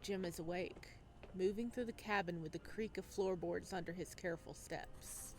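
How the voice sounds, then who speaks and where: A woman's voice: fairly low pitch, moderate pace. A woman hosting a game playthrough, reading narration aloud dramatically and adding casual commentary. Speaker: video game playthrough host.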